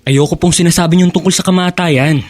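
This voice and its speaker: A man's voice: a little high, steady tone, fast, no room sound, lightly acted. A man playing an earnest, affectionate young Filipino grandson in a radio drama. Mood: earnest, worried.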